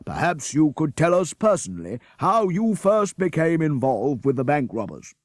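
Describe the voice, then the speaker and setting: A man voicing a formal town mayor giving a loud, ceremonious speech to a crowd. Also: a little high, big pitch swings, normal speed, no echo, clean studio sound, voice pushed up.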